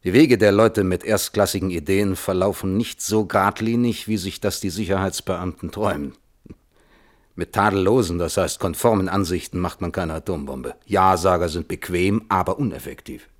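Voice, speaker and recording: Low-voiced, thoughtful physicist played by a man. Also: lightly acted. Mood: dryly assertive. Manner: measured, reflective, precise. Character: weary, thoughtful male physicist of fifty under questioning. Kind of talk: German radio play, hearing-room testimony.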